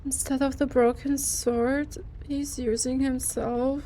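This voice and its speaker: A woman streamer giving casual, hushed, awed live reactions while playing a game. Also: sits high, level tone, slow, slight background noise. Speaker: gaming streamer.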